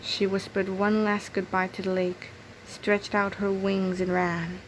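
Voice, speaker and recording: A woman reading a children's picture book aloud in an expressive storytelling manner. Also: mid-range voice, unhurried, a little hiss or hum, low-quality recording. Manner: expressive storytelling with acted character voices. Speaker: storybook reader.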